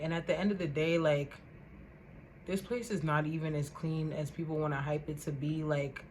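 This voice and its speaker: Woman, young adult, vlogger, loud voice, indignant rant, repeats words, faint background noise. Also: deep voice. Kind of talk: casual rant to camera.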